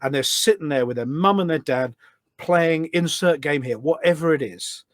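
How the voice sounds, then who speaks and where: A man's voice: fairly high pitch, normal speed, fairly bright tone, no echo, clean studio sound. A British man chatting casually and telling stories as a podcast guest, enthusiastic and emphatic, often repeating his phrases. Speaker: podcast guest, charity event organiser. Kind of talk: casual conversational storytelling.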